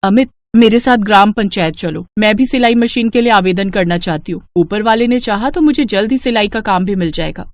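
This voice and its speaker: A woman voicing a spirited young village daughter and bride in an animated, expressive storybook style. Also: mid-range voice, fairly quick, hardly any pauses, a little hiss or hum, lightly acted. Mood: hopeful.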